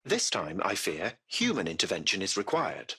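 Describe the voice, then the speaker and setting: A man's voice: deep voice, wildly swooping pitch, moderate pace, sharp tone, slightly rough, exaggerated voice. A man playing a courteous, formal AI taxi-service machine in a video game, with precise, butler-like phrasing. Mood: formal, explanatory. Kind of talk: scripted video game voice acting.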